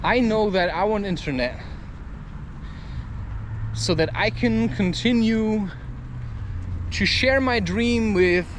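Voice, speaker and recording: High-pitched man musing aloud. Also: slow pace, pauses often, slight background noise. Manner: musing, repeatedly seeking agreement with tag questions. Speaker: vlogger and podcaster. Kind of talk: rambling personal monologue to camera.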